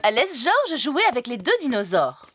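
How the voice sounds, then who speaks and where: A polite mother rabbit from a children's cartoon. Her voice is high and animated, gentle in manner, with clear noise behind it. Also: measured pace, full character voice. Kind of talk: French children's cartoon dub.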